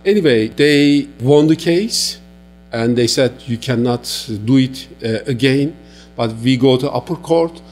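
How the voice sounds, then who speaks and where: A man from Turkey with a Turkish accent, speaking halting, earnest non-native English as an interview guest giving testimony. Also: mid-range voice, darkish tone, faint music behind.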